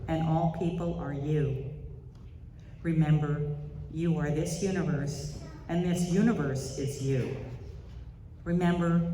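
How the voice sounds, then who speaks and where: Slow-paced service leader in an echoey hall. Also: mid-range voice, mellow. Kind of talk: church announcements and solemn poetry reading. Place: church sanctuary during a service. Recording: echoey hall, distant mic, faint noise.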